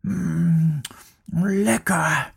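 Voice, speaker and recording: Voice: medium pitch, fairly even intonation, extremely slow, gravelly, husky, voice pushed up, full character voice. A storyteller voicing a small, greedy bear cub who grumbles about work in a children's story. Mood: cheerful. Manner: whiny, sulky. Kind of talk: storybook character voice.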